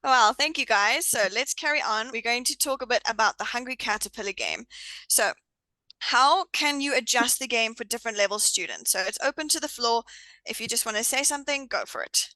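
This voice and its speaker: A woman from Zimbabwe leads a group discussion as its host. Her voice is bright and forceful and sits a little high. The sound is dry and close. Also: lively intonation, brisk, dry recording, low-quality recording. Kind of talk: moderating a group chat.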